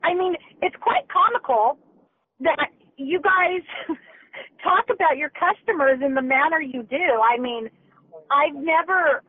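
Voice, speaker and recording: A woman in her fifties calling in angry from her car over a Bluetooth phone, with a loud, whiny, nasal voice and an indignant, lawyerly way of talking. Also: high-pitched, measured pace. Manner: indignant, lawyerly, repeats herself. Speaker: angry customer on a call. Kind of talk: heated phone complaint. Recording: tinny phone line, dry.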